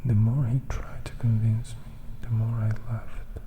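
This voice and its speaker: A man voicing a brooding, regretful vampire who recounts his past in a hushed, measured ASMR whisper. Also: fairly low pitch, little pitch movement, dull audio, slight background noise, natural voice. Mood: bitter, contemptuous. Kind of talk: whispered ASMR audiobook acting.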